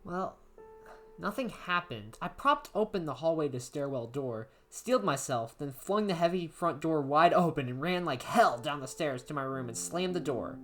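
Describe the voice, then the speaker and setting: Woman acting a low-voiced, anxious horror-story diarist. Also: animated. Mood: uneasy, restless. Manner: halting, emotive, with stammered repeats. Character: anxious isolated diarist unravelling into paranoia. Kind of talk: dramatic first-person horror story narration. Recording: background music under voice.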